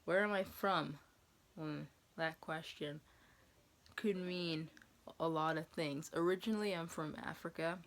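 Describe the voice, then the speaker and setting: A young American woman in her twenties with a lowish voice full of creak. She talks casually to her viewers, reading questions and answering them in a rambling, hesitant way, as if thinking out loud. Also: unhurried. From New England, USA. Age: 20s.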